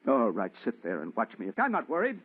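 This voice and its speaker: A man's voice: mid-range voice, huge pitch swings, a little fast, husky. Haunted, frantic man, muffled old radio. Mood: agitated, defiant. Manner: dramatic, stammering, swinging from brooding to frantic. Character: haunted, agitated man obsessed with a ghostly woman. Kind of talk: old-time radio horror acting. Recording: muffled, distorted vintage radio recording.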